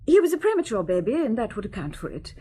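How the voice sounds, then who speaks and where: An actress playing a haughty, anxious middle-aged wealthy wife in a radio detective drama, imperious and insinuating, with deliberate dramatic pauses. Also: female voice, fairly high pitch, big pitch swings, mellow, slight background noise.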